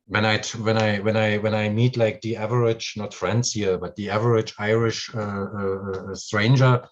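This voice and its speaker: A German-accented man talks loosely on a live stream, stumbling over fillers and circling back to restart his thoughts. His voice sits low, and the sound is dull and lo-fi. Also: little pitch movement, moderate pace. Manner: rambling, with many fillers and restarts. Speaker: host. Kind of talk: casual live-stream talk.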